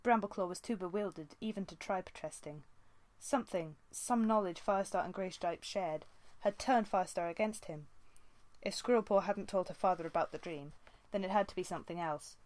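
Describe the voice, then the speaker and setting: A woman's voice: lowish voice, a little fast, darkish tone. A woman reading a story aloud as an amateur audiobook narrator.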